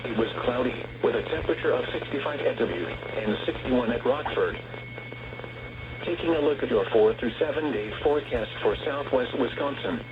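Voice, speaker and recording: Muffled, noisy radio weather announcer, synthetic male. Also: mid-range voice. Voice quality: synthetic text-to-speech voice. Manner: measured, formal, impersonal reading. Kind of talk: scripted weather forecast bulletin.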